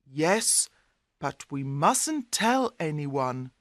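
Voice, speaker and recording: A woman voicing a cautious, earnest man, the hero of a storybook tale, in a deliberate manner. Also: deep voice, big pitch swings, dry recording, studio quality, exaggerated voice. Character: Ali Baba, cautious kindly man, fairy-tale hero. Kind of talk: storybook character voice.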